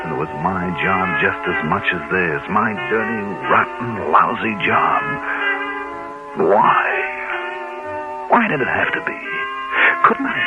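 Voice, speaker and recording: An actor playing a weary, hard-boiled insurance investigator in a 1950s radio drama, terse and brooding, with dramatic self-questioning. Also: male voice, deep voice, exaggerated voice. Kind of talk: 1950s radio drama acting with first-person narration. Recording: muffled old radio recording with music.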